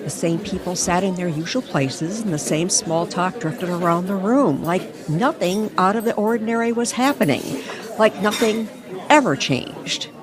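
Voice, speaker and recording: A woman's voice: lowish voice, animated, normal speed. A woman reading dramatic scripted narration for a story. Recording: crowd chatter behind the voice.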